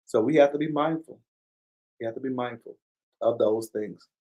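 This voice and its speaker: Earnest man hosting a live talk show. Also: medium pitch. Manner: earnest, preacher-like cadence with repeated phrases; pauses to give viewers shout-outs. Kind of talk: casual live-stream commentary.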